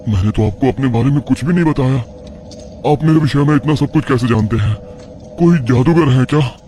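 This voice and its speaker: A man's voice: medium pitch, brisk, mellow, voice pushed up, lightly acted. A man playing a devout village priest and troubled father, telling his tale earnestly in a horror audio story. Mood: astonished.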